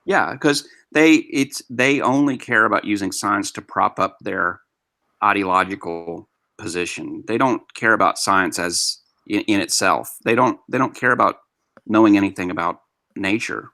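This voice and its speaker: Low, gravelly man explaining eagerly. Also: normal speed, pushed and bright, dry recording. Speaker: debate guest. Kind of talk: casual online discussion.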